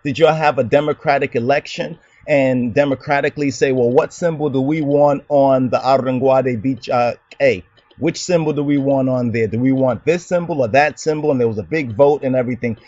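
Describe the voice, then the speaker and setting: A man's voice: medium pitch, a little fast, darkish tone, no echo, muffled recording. A man hosting his own channel, delivering an argumentative commentary monologue in a lecturing tone, often asking "you understand?". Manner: lecturing, rhetorical questions, repeats "you understand". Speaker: channel host answering a commenter.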